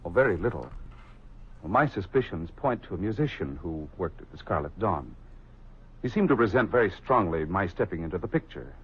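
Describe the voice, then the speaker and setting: An actor playing a suave, flirtatious wealthy shipping millionaire in a vintage radio drama, speaking in a polished, flowery manner. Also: male voice, low voice, expressive, brisk, slightly rough. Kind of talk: 1940s radio acting. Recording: dull old radio recording.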